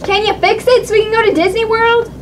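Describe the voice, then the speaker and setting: A woman's voice: very high-pitched, steady tone, fast talker, slight background noise. A woman voicing an eager, impatient, bossy little girl puppet in a children's show, pleading and dramatic. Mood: cheeky, eager.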